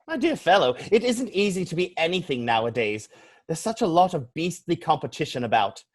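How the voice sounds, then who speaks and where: A man playing a witty, flippant young English gentleman in a stage comedy reading, declaiming with pompous mock seriousness. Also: on the high side, big pitch swings, brisk. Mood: breezy, arch.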